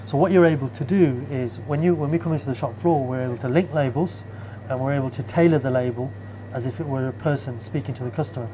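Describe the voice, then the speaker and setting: A man in his thirties, a shop owner, calmly explaining his store during an on-site interview, with many fillers. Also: mid-range voice, fast, few pauses, background noise. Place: shop and community hall, indoors.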